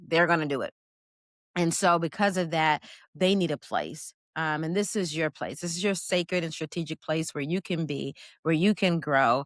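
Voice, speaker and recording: A woman from Florida hosting an interview podcast, effusive and upbeat with sweeping swings in pitch, speaking over a video call. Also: fairly bright tone, dry recording, studio quality. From Florida, USA. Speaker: podcast host.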